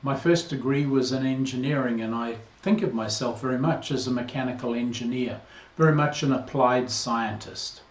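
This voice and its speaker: Older New Zealand priest, earnest and roomy. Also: male voice, mid-range voice, normal speed, few pauses. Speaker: priest, incoming interim rector. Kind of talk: recorded greeting to a parish. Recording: roomy, slightly dull recording.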